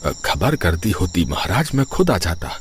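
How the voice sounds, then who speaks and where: A man's voice: sits low, sing-song, normal speed, a little hiss or hum. A man playing a poor, humble Brahmin in a cartoon story, bewildered and pleading in a hesitant, deferential way. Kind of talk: Hindi moral cartoon story acting.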